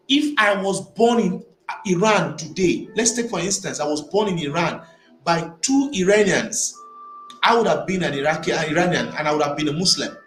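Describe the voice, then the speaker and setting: A man from Nigeria giving opinionated vlog commentary, emphatic and given to repeating phrases as he builds his argument. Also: sits high. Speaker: vlogger. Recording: echoey room with faint ambient noise.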